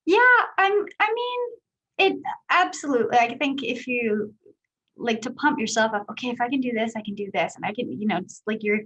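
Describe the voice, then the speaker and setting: Woman, thirties, high voice, candid, casual guest answers. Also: animated, brisk, fairly bright tone, breathy edge, low-quality recording. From Canada. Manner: candid, chatty, many "like" and "um" fillers. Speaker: guest speaker, company executive.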